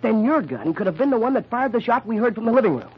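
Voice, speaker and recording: A man's voice: very high-pitched, big pitch swings, quick pace, husky, full character voice. An actor playing a prim, accusing young son who needles his family in an old radio drama style. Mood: needling. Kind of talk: 1940s radio acting. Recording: dull lo-fi old radio recording.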